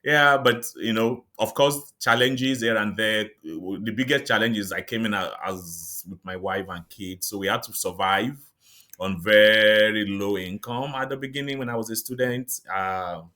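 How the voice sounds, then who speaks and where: A middle-aged Nigerian man whose first language is Yoruba, speaking as an interview guest in a measured, reflective way with light laughs. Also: on the low side, pushed and bright. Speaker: guest, psychiatrist and author. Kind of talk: interview conversation.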